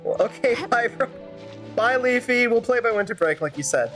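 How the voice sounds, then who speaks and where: A woman's voice: on the high side, animated, normal speed, a bit bright. A young woman giving casual live gameplay commentary as a streamer, musing aloud in a rambling way. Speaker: game streamer. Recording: stream with faint game music behind.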